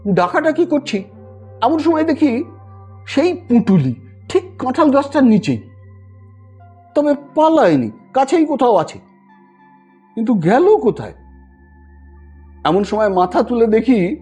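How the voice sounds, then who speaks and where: Brief: male audio-story narrator, husky voice with very lively pitch movement, dramatic delivery over music. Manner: theatrical, acts out characters' dialogue.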